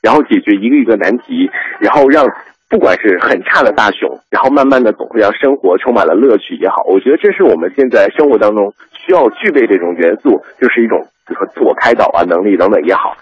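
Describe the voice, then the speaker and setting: Fast, animated man with a husky voice. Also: medium pitch, dry recording, highs cut off. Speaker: radio host. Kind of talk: casual explanatory radio commentary on animation.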